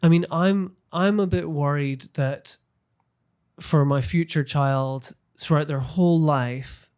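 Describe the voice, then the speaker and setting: A man's voice: a little high, slow pace, a little mellow, clear, resonant tone. A British man in his thirties chatting earnestly as a podcast guest, illustrating his points with examples. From Britain. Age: 30s. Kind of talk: casual conversational interview. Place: small podcast studio. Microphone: close studio microphone on stand.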